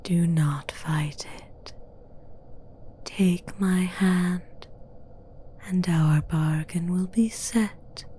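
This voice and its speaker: A domineering, seductive demoness acted out by a woman whispers very slowly in a soft, dark tone. Her phrasing is formal and archaic, coaxing and commanding at once. It is a breathy ASMR fantasy roleplay with faint noise behind it. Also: fairly low pitch. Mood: persuasive, soft.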